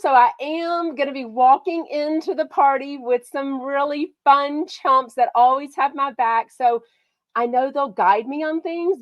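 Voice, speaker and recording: A woman's voice: high voice, no room sound, highs cut off. Bubbly nasal woman host. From Texas. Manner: bubbly and excitable, lots of "like" and "oh my gosh". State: a slight head cold, nasal. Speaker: podcast host. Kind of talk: casual chatty gossip livestream.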